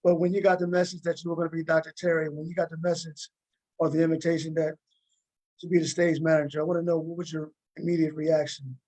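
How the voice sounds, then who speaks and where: A man hosting a conversational interview, speaking haltingly and repeating words as he frames his questions. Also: a little high, flat delivery, darkish tone, poor audio. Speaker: host and interviewer.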